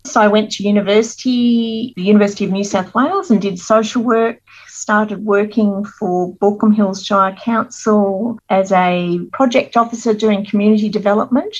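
A middle-aged Australian woman, a guest author telling her life story in an earnest, measured interview manner. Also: medium pitch, fairly even intonation, muffled recording.

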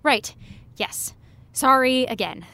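A woman playing an awkward, evasive high school senior, hesitant and apologetic, in a fiction podcast. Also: lively intonation, takes it slowly, sharp tone, a little hiss or hum, exaggerated voice. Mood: awkward, defensive. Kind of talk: scripted audio drama dialogue.

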